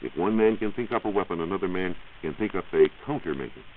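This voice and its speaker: An American man giving a measured, explanatory science talk on 1940s radio as a reporter. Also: sits low, animated, phone-quality sound. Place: radio studio. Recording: hissy band-limited 1940s radio recording.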